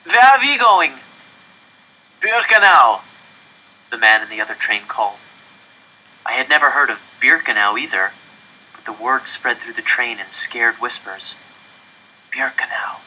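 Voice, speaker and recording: A man reading a story aloud in the first person, expressive and measured with dramatic pauses. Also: medium pitch, rough recording. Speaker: audiobook reader.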